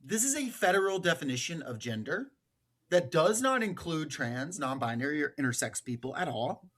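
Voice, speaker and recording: A man's voice: a little high, sing-song, moderate pace, a bit bright. A man with an American accent giving political commentary as a podcast host, partly reading from notes.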